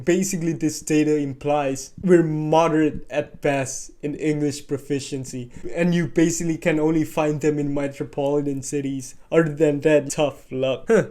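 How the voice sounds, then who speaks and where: Brief: young man, slightly high voice, mellow tone, candid and sarcastic vlog talk. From Indonesia. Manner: candid, sarcastic, exclaiming asides. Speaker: vlogger. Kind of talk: casual vlog commentary over on-screen data.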